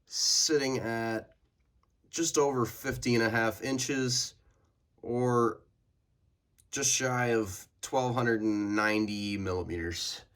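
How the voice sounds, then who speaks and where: A young man with a low-pitched voice, sniffly from the flu. He talks in a relaxed, explanatory way and fills his pauses with ums. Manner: relaxed, filler-heavy, explanatory. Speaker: bike vlogger. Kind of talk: casual bike check walkthrough.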